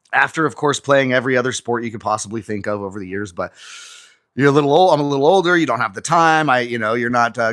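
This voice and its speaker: A man in his forties talks casually to his viewers on a live stream while stretching in his garage gym. The sound is dry and close. His pitch sits at an average level, and he speaks conversationally and reads out comments as he goes. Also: a bit bright, no room sound. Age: 40s. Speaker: livestream host. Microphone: phone camera on an auto-tracking mount.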